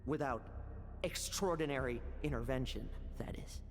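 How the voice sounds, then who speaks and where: Sly young power-seeker played by a man: mid-pitched, calm, teasing, falsely helpful, video game drama with music underneath. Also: moderate pace, big-room sound, close to an everyday voice. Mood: coolly persuasive. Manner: smooth, teasing, theatrical.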